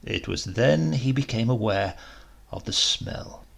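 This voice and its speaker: A man narrating a short story in a measured, expressive way, with deliberate pauses for drama. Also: medium pitch, creaky. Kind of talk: dramatic scripted literary narration.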